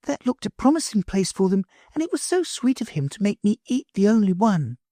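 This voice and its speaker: A woman reading an audiobook, voicing a gentle, earnest little girl in a soft, pleading way. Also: mid-range voice, sing-song, fairly quick, dry recording, clean studio sound, pitched above the performer's own voice. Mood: tender. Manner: soft, pleading, wide-eyed. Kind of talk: audiobook character voice.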